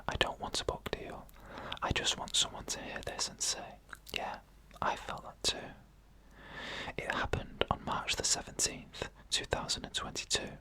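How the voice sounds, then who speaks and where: A narrator telling a scary story in a breathy, unvoiced ASMR whisper, in short phrases with frequent pauses. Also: sharp tone.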